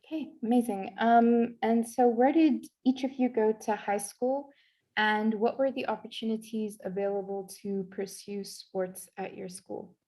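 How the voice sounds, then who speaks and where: A woman's voice: on the high side, steady tone, a little slow, mellow, low-quality recording. A young woman moderating an online panel, hosting and putting questions to panelists.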